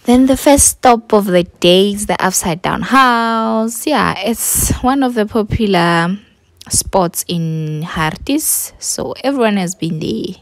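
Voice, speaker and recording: A woman's voice: medium pitch, lively intonation, slow pace, a little hiss or hum. A woman with a South African accent doing a casual, easygoing vlog voice-over, as if thinking it through. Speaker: vlogger.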